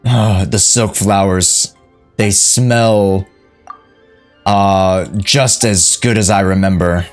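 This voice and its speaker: Slow, slangy male game streamer. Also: sits low, steady tone, soft background music. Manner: slangy, joking, drawn-out phrasing. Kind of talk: casual live stream chat and reactions.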